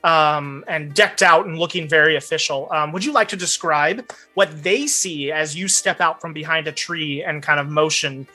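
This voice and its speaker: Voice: medium pitch, moderate pace, hardly any pauses, pushed and bright, faint music behind. A game master narrating a tabletop game in a conversational, explanatory way.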